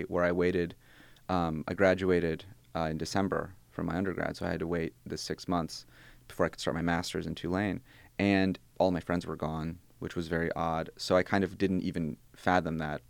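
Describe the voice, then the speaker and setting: A man's voice: deep voice, vocal fry, no echo. A man in his twenties whose first language is Bosnian, talking thoughtfully in an interview as a graduate student, restarting phrases and adding wry asides. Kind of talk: conversational interview.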